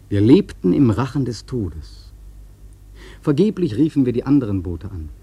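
An actor playing a sailor who recounts a perilous whale hunt, narrating emphatically and theatrically in a husky voice for a radio play. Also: male voice, mid-range voice, warm tone, no room sound. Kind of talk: dramatic first-person radio play narration. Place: dry studio.

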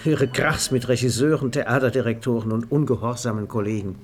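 An actor as a wry storyteller, reading scripted literary narration in a radio play. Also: male voice, medium pitch, fairly even intonation, brisk, close to an everyday voice. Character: wry storyteller of a boozy party. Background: music.

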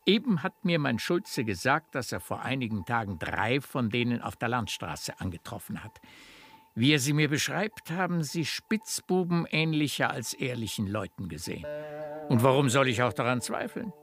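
A man's voice: mid-range voice, sing-song, normal speed, a bit bright, a little hiss or hum. An actor playing an effusive, opinionated country baron in his fifties in a German radio play, courtly and blustering. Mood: indignant, prejudiced. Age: 50s.

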